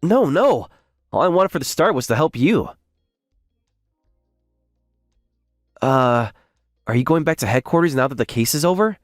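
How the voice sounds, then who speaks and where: A man's voice: fairly high pitch, lively intonation, fairly quick, no room sound, clean studio sound, soft background music, natural voice. A man playing an earnest, bashful young office worker who also narrates a manga romantic comedy dub. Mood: bashful, tender. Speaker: narrator and lead character. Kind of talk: manga dub acting with first-person narration.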